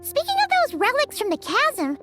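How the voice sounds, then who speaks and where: Tiny squeaky childlike fairy companion. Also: female voice, huge pitch swings, normal speed, exaggerated voice. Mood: eager. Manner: chirpy, eager, refers to self by name. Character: small excitable childlike floating fairy companion, female. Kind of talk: video game voice acting. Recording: music under the voice.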